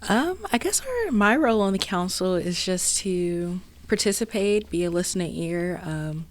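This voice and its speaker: A woman's voice: lowish voice, expressive, normal speed. A teenage girl answering podcast interview questions in an upbeat, earnest way, with short fillers. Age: teens. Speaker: guest, youth council member.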